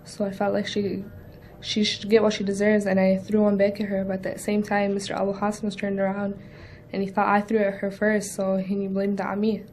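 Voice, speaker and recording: A woman's voice: completely flat delivery, brisk, darkish tone, close to an everyday voice. A young woman acting an aggrieved schoolgirl in an amateur role-play, insistently justifying herself. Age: young adult. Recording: rumbling background noise.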